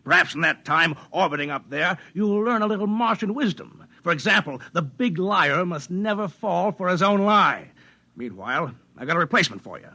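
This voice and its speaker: A fat, aging, power-hungry boss in a 1950s radio play. The voice is husky and fairly high, with big sweeping swings of pitch. He is sardonic and domineering, relishing every cynical scheme. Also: lively intonation, moderate pace, pushed and bright, full character voice. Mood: smug scheming. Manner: sardonic, commanding, theatrical. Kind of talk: 1950s radio drama acting. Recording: 1950s radio broadcast recording.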